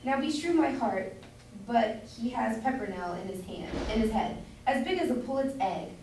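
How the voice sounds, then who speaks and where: A woman's voice: on the high side, lively intonation, moderate pace. A woman playing a fussy, doting, meddlesome London citizen's wife in an amateur staged reading of a Jacobean comedy. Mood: doting, fretful. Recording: distant echoey room, background hiss.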